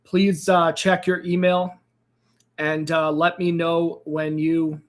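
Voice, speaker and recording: A man with a fairly high, slightly raspy voice, still a little hoarse from losing it. He hosts a live trading stream in a loose, upbeat way, greeting viewers by name and filling gaps with "uh" and "um". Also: flat delivery, takes it slowly. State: slightly hoarse, recovering from a lost voice. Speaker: crypto trading livestream host. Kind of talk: casual livestream chat.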